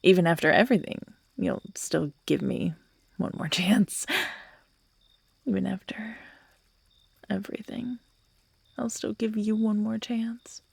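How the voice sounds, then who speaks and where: A woman playing an obsessive, unhinged yandere who is tender and menacing by turns, speaking in an intimate, halting, breathy ASMR roleplay style that often drops into whispers. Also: mid-range voice, no echo, exaggerated voice. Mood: manipulative, lovestruck. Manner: slow, halting, cooing, broken by giggles and sighs.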